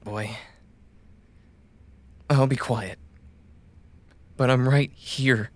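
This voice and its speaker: Man acting a slow-spoken, gentle wounded caravan runner. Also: medium pitch, dry recording, lightly acted. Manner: tender, halting, reassuring. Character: wounded, loyal male caravan runner gently soothing his companion. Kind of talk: audio drama acting.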